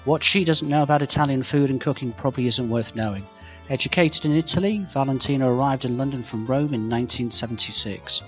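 A man's voice: medium pitch, fast talker, a bit bright, faint music behind. An older man hosting a podcast interview, friendly and hesitant, with many fillers as he asks his questions. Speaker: podcast host.

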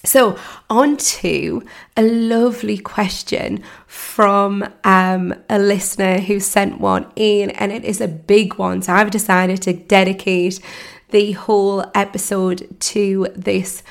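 A woman hosting her own podcast in a casual chat, her voice hoarse and croaky from laryngitis, talking with plenty of ums and restarts. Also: mid-range voice, flat delivery, slow. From United Kingdom. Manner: warm chatty, many ums and restarts. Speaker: podcast host and mindset coach.

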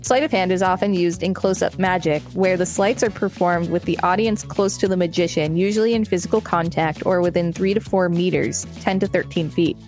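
A woman's voice: on the low side, a little fast, few pauses, a little mellow. Woman podcast host reading over music. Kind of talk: read-aloud encyclopedia text with casual commentary.

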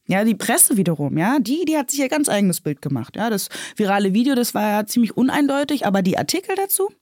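A woman's voice: mid-range voice, big pitch swings, fast talker, darkish tone, dry recording, clean studio sound. A woman playing an earnest, indignant young policewoman in a German audio drama, speaking emphatically and stumbling over her words. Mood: indignant, explaining. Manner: emphatic, stumbling, repeats 'ja'. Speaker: police officer.

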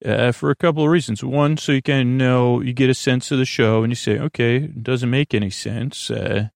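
Guy w a creaky gravelly voice doing a rambly bedtime podcast intro, halting, keeps drifting off on tangents, really dry sound. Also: mid-range voice, steady tone, no echo. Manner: meandering, halting, trails off into tangents. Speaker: podcast host.

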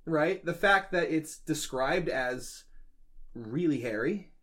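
Bright-voiced man chatting casually. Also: fairly high pitch, animated, takes it slowly. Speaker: podcast co-host. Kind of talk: casual chat about folklore.

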